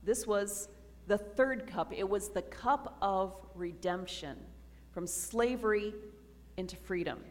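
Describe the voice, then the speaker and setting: A woman pastor preaches with slow, deliberate reflection, each phrase left room to settle. Her mid-range voice carries a long reverb from the church sanctuary around her. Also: mid-range voice, darkish tone. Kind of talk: communion sermon.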